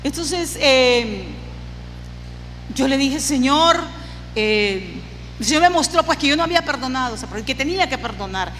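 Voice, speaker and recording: A woman's voice: sits high, lively intonation, normal speed, a bit bright, faint music behind. A woman preacher giving a sermon with personal testimony, speaking emphatically and repeating phrases for effect. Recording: long hall reverb, clear background noise.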